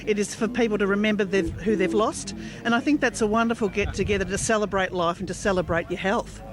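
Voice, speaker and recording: An Australian woman, a local mayor, giving an informal, slightly halting interview on location. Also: medium pitch. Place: outdoors at a community fundraising event.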